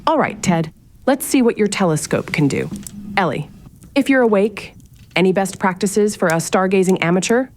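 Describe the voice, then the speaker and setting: A woman's voice: mid-range voice, moderate pace, slight background noise, natural voice. An actress playing a sardonic, curious young woman in a fiction podcast drama, thinking aloud to herself in a naturalistic, exasperated way. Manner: wry, sarcastic, exasperated muttering to herself. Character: sardonic, curious young woman investigating her late relative's mysterious house. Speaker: lead actor in an audio drama.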